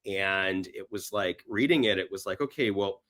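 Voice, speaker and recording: A man's voice: low voice, moderate pace, fairly bright tone. A man being interviewed, telling stories casually in a rambling way and acting out imagined bits of dialogue. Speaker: podcast guest, screenwriter.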